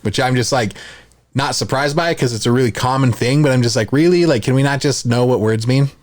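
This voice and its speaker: A man's voice: mid-range voice, a little fast, dry recording. A man co-hosting a casual discussion podcast, speaking in an opinionated, matter-of-fact way. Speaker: podcast co-host.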